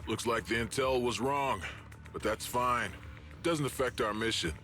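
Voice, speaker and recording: A man's voice: mid-range voice, measured pace, pushed and bright, soft background music. An actor playing a cocky, callous male villain henchman in a video game, taunting and sneering.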